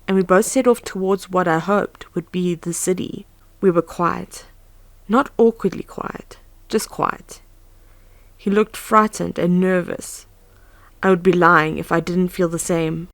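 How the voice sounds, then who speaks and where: A woman's voice: fairly low pitch, no room sound. A woman playing an earnest, anxious but composed young huntress in a fan audio drama. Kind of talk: fan audio drama, first-person narration and dialogue. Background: background music.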